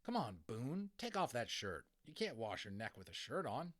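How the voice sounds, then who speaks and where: A man's voice: medium pitch, very animated, normal speed, a bit bright. A man voicing a schoolboy who talks firmly and bossily like a parent in a storybook. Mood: scolding. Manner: firm, scolding. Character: bossy schoolboy imitating stern parents.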